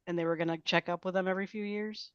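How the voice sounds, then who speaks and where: A woman's voice: on the low side, fairly even intonation, fast talker, clean tone, no echo. A woman chatting casually in a group discussion, on a dull, lo-fi microphone.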